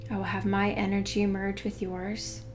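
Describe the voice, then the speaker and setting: A woman guiding a meditation in a soothing, unhurried way, hushed in places. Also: mid-range voice, monotone, slight background noise. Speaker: meditation guide. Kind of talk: guided meditation narration. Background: background music.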